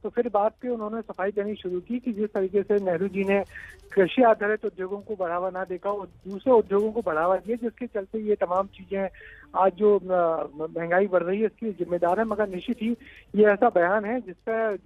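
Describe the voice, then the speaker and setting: A man's voice: high-pitched, steady tone, normal speed, no room sound. A man reporting live to a news anchor, explaining in an unscripted, slightly hesitant way. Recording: thin phone-like live link, dry.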